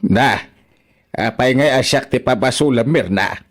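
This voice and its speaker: A man's voice: medium pitch, unhurried, close to an everyday voice. A man with an Ilocano accent playing a defensive, grumbling father who argues in a radio drama. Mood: defensive blaming. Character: defensive, grumbling father blaming his wife.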